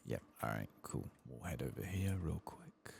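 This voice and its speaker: A soft-spoken, hushed man giving unhurried, understated ASMR commentary over a video game. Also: very deep voice, expressive, gravelly. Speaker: host of a gaming playthrough channel. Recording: faint background noise.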